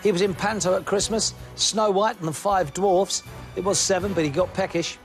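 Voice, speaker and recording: A man presenting a television quiz, his voice sitting notably high for a man. He keeps things moving with playful jokes and teasing asides. Faint music plays behind him in the studio. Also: steady tone, moderate pace. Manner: jokey, teasing banter. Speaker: quiz show host. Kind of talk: upbeat game show presenting.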